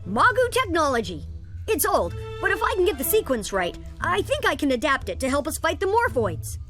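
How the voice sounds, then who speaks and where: A woman's voice: high voice, huge pitch swings, a little fast, a bit bright, heavily acted. A woman voicing a brainy, bossy boy inventor in a cartoon game, explaining things in a know-it-all way. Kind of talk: animated game cutscene acting. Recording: game music behind the voice.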